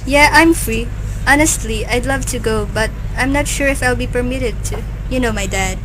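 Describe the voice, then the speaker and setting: A woman's voice: on the high side, normal speed, background noise, close to an everyday voice. A young woman as a restless sheltered teen girl. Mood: longing. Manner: pleading, earnest. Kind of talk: amateur student radio drama. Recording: background music.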